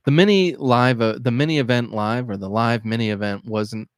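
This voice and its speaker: Brief: male podcast co-host, smooth and clear, dry close mic, meandering casual talk. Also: medium pitch, normal speed, dry recording. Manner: rambling, hesitant with many fillers. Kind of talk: casual chat.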